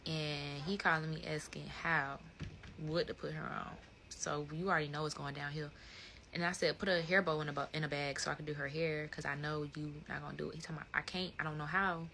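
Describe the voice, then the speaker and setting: A woman in her twenties with an American accent, chatting casually and playfully on a livestream in animated slang. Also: deep voice, a little fast, creaky, noisy background. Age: 20s. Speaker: livestream host. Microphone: phone at close range.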